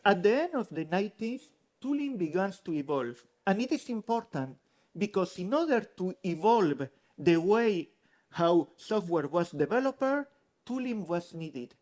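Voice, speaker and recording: A man's voice: high voice, very animated, measured pace, darkish tone. A man from Spain with a mixed Spanish and French accent, earnestly explaining as a conference speaker. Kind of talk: conference talk. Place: conference hall.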